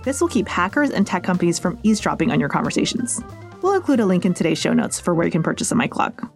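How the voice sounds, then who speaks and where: Female American podcast host, slightly low voice, very varied intonation, playful scripted narration, chatty and direct. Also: sing-song, fast talker, creaky, over music. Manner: chatty, direct address to listeners.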